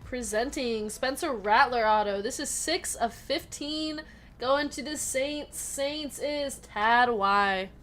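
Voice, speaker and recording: A woman with a fairly high voice chats as she hosts a live card break, reading out players and card numbers. She laughs often and keeps a casual tone, and music plays faintly behind her. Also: slow pace, fairly bright tone.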